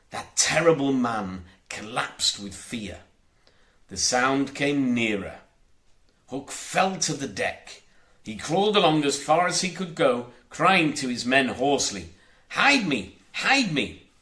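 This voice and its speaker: Expressive, bright-voiced man reading a storybook. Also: mid-range voice, slow. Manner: theatrical, expressive. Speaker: audiobook reader. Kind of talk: dramatic children's storybook narration.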